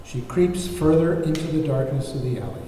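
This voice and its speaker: Mellow man narrating in an echoey hall. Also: on the high side, animated, normal speed. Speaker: table read narrator. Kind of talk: scripted narration of screenplay. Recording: very echoey hall, distant mic, faint noise.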